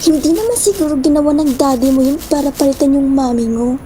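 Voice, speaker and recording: Easygoing young best friend, played by a woman, high yet mellow voice, chummy, audio drama, faint noise. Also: quick pace, close to an everyday voice. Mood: friendly.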